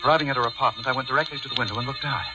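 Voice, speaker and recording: A man's voice: medium pitch, racing, close to an everyday voice. A man acting in a 1940s radio drama as a guilt-ridden, haunted judge, speaking with intense, dramatic delivery on an old recording with music. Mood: brooding, tense. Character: guilty, haunted judge narrating his crime, increasingly panicked.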